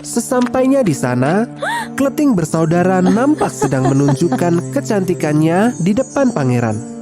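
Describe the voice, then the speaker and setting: A woman narrates a children's story in a slightly low, very animated voice. Music plays clearly behind the scripted narration. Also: normal speed.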